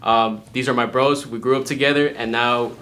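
A young man hosting a casual group chat on a living room couch, crude and quick to laugh. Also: mid-range voice, fairly even intonation. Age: young adult. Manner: laughs often, crude joking. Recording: loud background noise.

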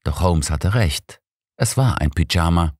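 Deep-voiced audiobook man. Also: lively intonation, a little slow, darkish tone, husky, dry recording, studio quality. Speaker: audiobook narrator. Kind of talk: scripted first-person mystery narration.

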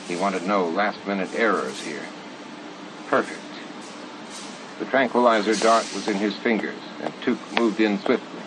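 A man's voice: fairly low pitch, background noise. A man reading scripted fiction as an audiobook narrator. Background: music and sound effects.

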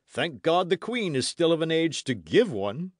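A man voicing a boastful, loud musketeer in an audiobook, theatrical and full of exclamations. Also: fairly high pitch, big pitch swings, normal speed, no room sound, studio quality, pitched above the performer's own voice. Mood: indignant, scolding. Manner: theatrical, exclamatory, swooping emphasis. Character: boisterous, boastful swaggering musketeer, loud and self-satisfied.

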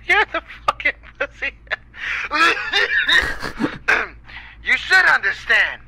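An actor playing an angry, mocking voice from an old radio in a game, sarcastic and theatrical. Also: male voice, very high voice, very animated, extremely slow, vocal fry, background noise, exaggerated voice. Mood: scornful.

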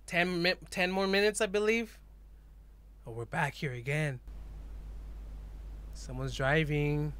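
A man's voice: on the high side, slow pace, pauses often, a little hiss or hum. A young man hosting a reaction video, joking and yelping at the scares, talking into a headset mic in a small bedroom setup. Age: young adult. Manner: jokey and animated, bursts into yelps and laughs. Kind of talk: casual commentary reacting to a horror video. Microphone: headset with desk mic.

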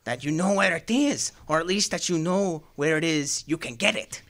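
A man's voice: fairly high pitch, expressive, normal speed, fairly bright tone. A man playing a slippery, courteous little crook in a noir radio drama, speaking in formal, precise, over-polite phrases. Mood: polite, guarded.

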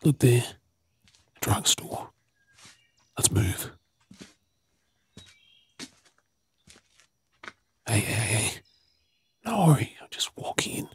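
Wary Australian male survivor, acted by a man for zombie ASMR drama: breathy, husky whispering in slow, terse phrases. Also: medium pitch, sing-song, heavily acted. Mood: wary, urgent. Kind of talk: whispered ASMR role play.

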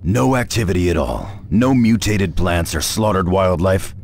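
An actor playing a grim veteran space marine in a video game, gravelly, terse and commanding. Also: male voice, low voice, animated, moderate pace, a little mellow, a little hiss or hum. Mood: stern, doubting. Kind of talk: scripted video game dialogue.

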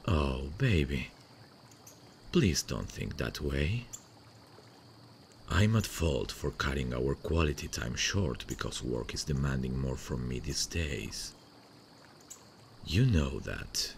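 Man acting a very deep, gravelly, tender boyfriend. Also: expressive, a little hiss or hum, full character voice. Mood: tender, soothing. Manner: tender, soothing, hesitant pauses. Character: sweet, devoted long-distance boyfriend on a late call. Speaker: ASMR roleplay voice actor. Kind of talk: slow intimate ASMR boyfriend roleplay. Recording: faint running-water nature sounds behind. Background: stream nature sounds.

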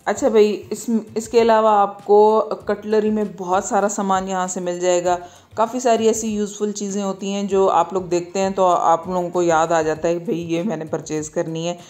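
A woman's voice: medium pitch, level tone, moderate pace, darkish tone. A woman with a Pakistani accent narrating a shopping guide in a casual, conversational way, often checking in with the listener. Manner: conversational, repeatedly checks in with "okay". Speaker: market vlogger.

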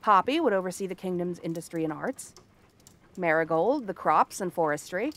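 Casting: female actor as cursed princess turned frog girl, cranky and theatrical, sharp-tongued but secretly kind, ASMR fantasy roleplay. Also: on the low side, animated, normal speed. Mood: wry storytelling. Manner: haughty, sardonic, theatrical tsundere delivery.

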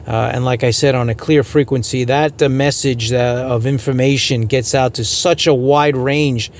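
A man hosting an informal radio news podcast, giving opinionated commentary in a halting, repetitive way. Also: mid-range voice, steady tone, slight background noise. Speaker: radio hobbyist host giving a news report.

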